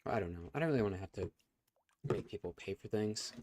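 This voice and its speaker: A man's voice: lowish voice, lively intonation, quick pace, darkish tone. A young man casually chatting on a live stream as its host, rambling with fillers and restarts, his voice getting scratchy. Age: young adult. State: voice going scratchy.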